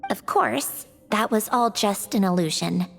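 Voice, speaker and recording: A woman voicing a self-assured, brisk yet reflective female automaton in an English video game. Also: mid-range voice, animated, vocal fry, faint music behind. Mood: wistful. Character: female created automaton, wistful, bossy, proud, fond of puzzles.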